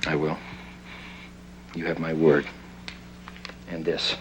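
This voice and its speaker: Low-voiced, commanding American crime fighter. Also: male voice, little pitch movement, takes it slowly, a little hiss or hum, low-quality recording. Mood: grave, calm. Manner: terse, authoritative, probing questions. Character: masked vigilante crime fighter, cool, commanding man. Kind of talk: 1960s television crime drama acting. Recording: 1960s TV soundtrack with music underneath.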